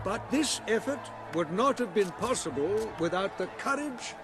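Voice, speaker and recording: A man's voice: sits high, lively intonation, moderate pace, a crowd in the background, background noise. A man playing a pompous town orator in a fantasy game, giving a grand, declamatory public speech. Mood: grandiose. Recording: music behind the voice.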